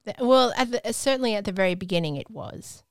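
Smooth-voiced woman, dry close sound. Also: mid-range voice, lively intonation, fast, dry recording. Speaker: guest. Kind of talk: casual chat.

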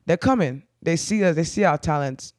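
A woman's voice: low-pitched, lively intonation, darkish tone, no room sound, clean studio sound. Earnest female podcast guest. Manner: earnest, halting with many restarts. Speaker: guest performer. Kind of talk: casual interview chat.

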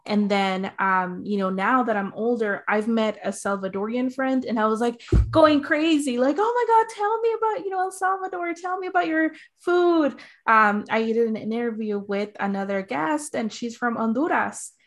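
A woman's voice: fairly high pitch, expressive, normal speed. A woman from Arizona hosting a casual interview, talking with eager, gushing enthusiasm. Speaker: podcast host.

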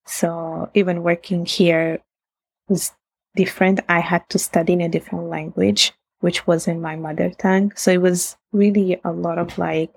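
Italian-accented woman, low flat husky voice. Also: normal speed, no echo. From Italy. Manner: reflective, laughs often, self-correcting restarts. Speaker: guest. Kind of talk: casual interview talk.

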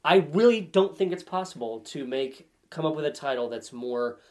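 A man's voice: a little high, animated, normal speed. Sardonic man in casual movie banter. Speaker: podcast guest reviewing movie trailers. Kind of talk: casual comedic movie chat.

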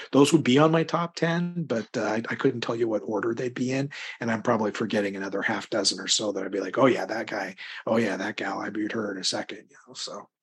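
A man's voice: lowish voice, a bit bright, slightly rough. A middle-aged American man talking casually as an interview guest, thoughtful and rambling, often hesitating as he works out his answer. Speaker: interview guest, crime fiction author.

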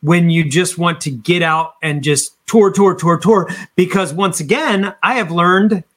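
Male, middle-aged, higher register. Blunt, emphatic musician in relaxed interview conversation. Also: unhurried. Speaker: musician, podcast guest. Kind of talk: casual interview chat.

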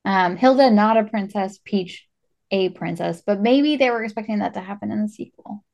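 A woman's voice: medium pitch, normal speed, vocal fry, a little mellow. A woman chatting casually as a podcast co-host and quiz contestant. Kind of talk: casual chat.

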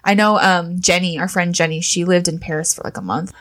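Young woman, casual chat, lowish voice, animated, laughs a lot. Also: a little fast. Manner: animated, laughs often, trails off mid-sentence.

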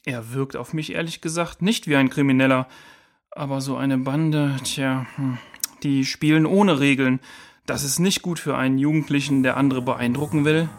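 A man's voice: mid-range voice, fairly even intonation, normal speed, natural voice. A man playing a serious, protective character who speaks directly in a children's audio drama. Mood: serious, concerned.

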